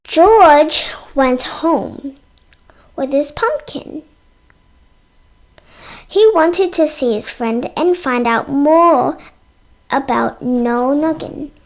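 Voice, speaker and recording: High-voiced, very slow storybook reader, female. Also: expressive. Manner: halting reading, stumbles over words and sounds them out. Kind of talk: read-aloud narration of a children's book.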